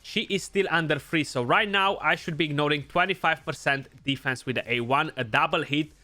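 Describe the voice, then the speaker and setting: A man's voice: a little high, fairly quick, pushed and bright, soft background music. A young man with a Romanian accent giving casual gameplay commentary, thinking aloud and often adding "you know". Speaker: gaming YouTuber.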